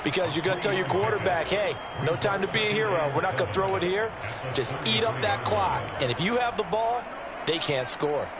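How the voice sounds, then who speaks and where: A man's voice: sits high, lively intonation, brisk, very noisy. A man playing a football color commentator in a video game, explaining the game in a folksy, conversational way. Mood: assured, chatty. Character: male American football analyst, folksy, chatty and explanatory.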